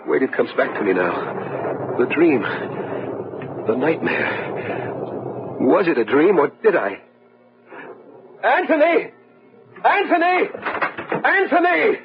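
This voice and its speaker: An actor playing a tormented man consumed by fear and madness, halting and repeating himself in an old-time radio horror drama. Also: a little high, exaggerated voice. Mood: bewildered panic. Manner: halting, repeating words in dread. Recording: muffled old radio recording, music behind.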